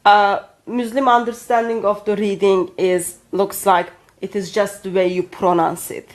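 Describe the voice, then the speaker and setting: A woman with a medium-pitched voice and a Turkish accent. Her English is careful and halting, that of a non-native speaker, as she co-hosts an explanatory discussion and cites references. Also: little pitch movement. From Turkey. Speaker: co-host.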